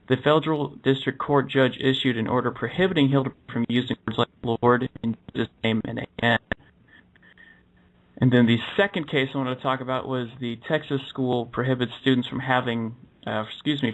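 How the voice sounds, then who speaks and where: A man hosting a radio talk show, earnestly reading through cases and interviewing a guest, often pausing with uh and correcting himself. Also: medium pitch, fairly bright tone. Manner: earnest, with frequent uh's and self-corrections. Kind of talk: reading case summaries and interviewing. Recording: dull lo-fi broadcast audio.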